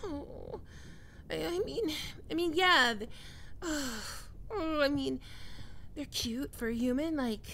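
A woman voicing a prickly, flustered young catgirl roommate in an intimate ASMR roleplay, halting and defensive but secretly soft. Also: sits high, gravelly, a little hiss or hum, full character voice. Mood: shy, flustered.

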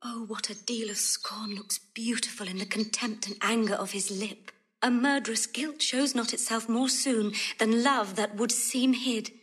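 A proud, lovesick noblewoman in a classical audio drama. Her voice is breathy and fairly high, delivering verse with passionate yearning. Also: fairly even intonation, moderate pace, fairly bright tone.

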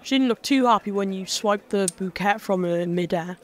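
An actor playing a friendly, easygoing young wedding guest. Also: male voice, high-pitched, brisk, natural voice. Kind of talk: audio drama acting.

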